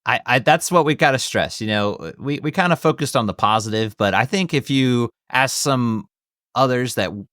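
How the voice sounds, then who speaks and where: A man's voice: medium pitch, animated, normal speed, a bit bright, no echo, clean studio sound. A man hosting a movie review podcast in casual conversation, often hedging and circling back over his words. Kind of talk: casual film review chat.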